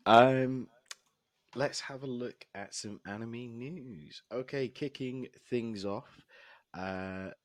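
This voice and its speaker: Man, hoarse and husky, slow-paced, lowish voice, dry close sound, casual podcast co-host. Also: dry recording. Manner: laughs often between remarks. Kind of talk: casual chat.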